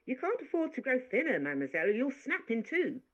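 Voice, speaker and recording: A woman's voice: fairly low pitch, big pitch swings, moderate pace, pitched above the performer's own voice. A woman voicing a good-natured, talkative maid who teases and scolds, in a dull lo-fi recording. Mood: scolding. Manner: bossy, teasing, talkative.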